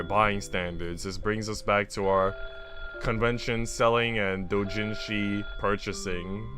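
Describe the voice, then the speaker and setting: A man streaming a visual novel, moving between reading the story aloud and casual, slangy, chatty asides. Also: lowish voice. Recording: music behind the voice. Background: music.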